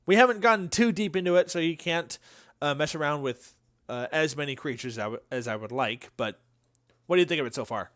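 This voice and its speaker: A man's voice: on the high side, a little fast, a bit bright. A man streaming a video game, chatting casually with his viewers in a self-deprecating way. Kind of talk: casual livestream chat while playing.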